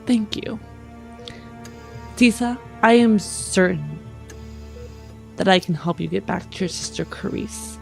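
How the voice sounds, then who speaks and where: A woman playing a persuasive adventurer in a tabletop game, speaking in a measured, sincere way. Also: medium pitch, creaky, faint music behind. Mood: earnest, reassuring. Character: persuasive, careful woman adventurer making earnest promises.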